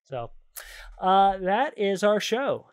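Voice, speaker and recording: A man's voice: high-pitched, sing-song, takes it slowly, smooth. A man hosting a podcast in casual chat, playful and jokey, reading out trivia cards and often amused. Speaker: podcast host and quizmaster.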